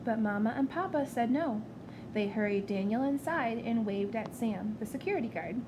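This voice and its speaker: A woman reads a children's story aloud with expression. Her voice is fairly high, and clear background noise runs through the recording. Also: animated, normal speed. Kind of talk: expressive picture-book read-aloud.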